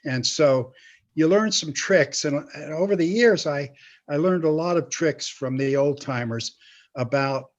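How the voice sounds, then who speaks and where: An older man chatting casually as an interview guest, speaking haltingly with small chuckles. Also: a little high. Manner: halting, chuckles while talking. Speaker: guest, comedy writer and teacher. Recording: slightly dull lo-fi sound.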